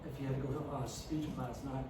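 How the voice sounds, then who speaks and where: A man's voice: medium pitch, fairly even intonation, quick pace. A middle-aged man who stutters tells his own story to an audience, full of restarts and fillers. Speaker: speaker telling his story. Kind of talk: personal story talk to an audience. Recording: very echoey, far from the mic, noisy.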